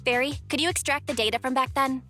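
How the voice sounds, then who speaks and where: A woman's voice: high voice, fairly even intonation, fast talker, pushed and bright, faint music behind. An actress playing a cautious, friendly young female manager in a game.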